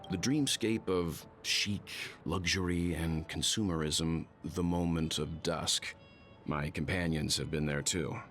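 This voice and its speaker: Slow, deep-voiced grave traveler. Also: male voice, fairly even intonation, fairly bright tone, soft background music. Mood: reflective. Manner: deliberate, thoughtful, grave. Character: grave, wise, world-weary male traveler and storyteller. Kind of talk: scripted game dialogue, solemn storytelling.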